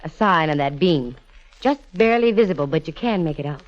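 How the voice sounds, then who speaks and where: A woman's voice: sits low, animated, fairly quick. An actress playing a brash, wisecracking female private detective in an old radio drama, with breezy, slangy delivery. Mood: wry, confident. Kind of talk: 1940s-50s radio detective acting. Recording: muffled vintage radio broadcast.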